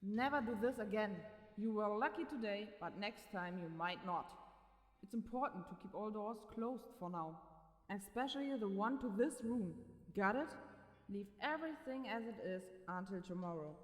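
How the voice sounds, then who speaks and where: A woman's voice: mid-range voice, animated, moderate pace. A woman playing a stern, commanding young occult expert in a dramatised horror story, giving instructions with authority through heavy reverb. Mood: stern, warning. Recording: echoey, long hall-like reverb.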